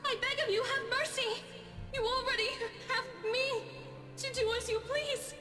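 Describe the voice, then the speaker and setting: A frightened young servant woman in a visual novel. Her voice is extremely high and submissive, always begging, with clear music playing behind it. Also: steady tone, measured pace, bright, forceful tone, heavily acted. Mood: desperate pleading.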